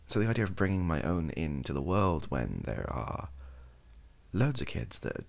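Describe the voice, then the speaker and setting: A young British man, softly spoken, rambling hesitantly through an opinion monologue in a gravelly, husky voice and often trailing off. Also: very deep voice, very animated, normal speed. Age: young adult. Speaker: ASMR YouTuber. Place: small home room, indoors.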